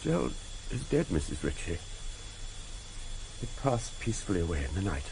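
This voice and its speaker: An older man playing a courteous, grieving, devoted secretary in a radio drama, speaking haltingly. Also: deep voice, very animated, vocal fry, exaggerated voice. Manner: genteel, halting, repeating himself. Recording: hissy vintage radio drama tape.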